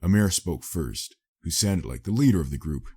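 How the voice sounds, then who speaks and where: Deep, husky male story narrator. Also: animated, moderate pace, warm tone. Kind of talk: dramatic scripted story narration.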